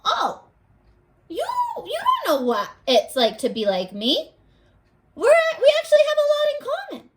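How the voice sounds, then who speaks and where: A woman's voice: extremely high pitch, huge pitch swings, a little slow, voice pushed up, full character voice. A woman voicing a tiny ant from a picture book, pleading earnestly. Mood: earnest appeal.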